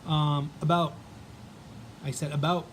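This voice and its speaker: A man's voice: on the high side, little pitch movement, measured pace, a little hiss or hum. A man with a Canadian accent hosting a casual sports vlog, chatting in a rambling way with many ums and restarts.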